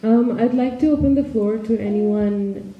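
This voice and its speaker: A woman's voice: a little high, measured pace. A young woman moderating a library Q&A, polite and a little hesitant as she asks questions. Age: young adult. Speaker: event moderator. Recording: distant, dull, echoey mic.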